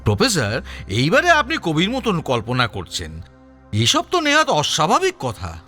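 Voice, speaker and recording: An actor playing a brisk, sceptical police chief who questions people in a detective audio drama. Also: male voice, a little high, wildly swooping pitch, pushed and bright, faint music behind, heavily acted.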